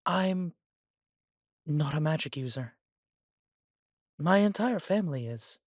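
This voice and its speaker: An unhurried man playing an elf brother from a fantasy audio drama, recorded dry and close. He is warm and humble, devoted to his family, and phrases things a little formally with thoughtful pauses. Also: on the high side, animated, no room sound. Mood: wistful, humble. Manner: measured, slightly formal phrasing with sighs and pauses. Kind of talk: scripted fantasy audio roleplay.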